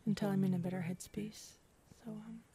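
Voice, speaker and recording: A woman acting in an intimate sci-fi audio roleplay as a gentle, grieving character, speaking softly and haltingly, often close to a whisper. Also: mid-range voice, fairly even intonation, slightly rough. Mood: hesitant, earnest. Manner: halting, soft, hesitant, often dropping to a whisper.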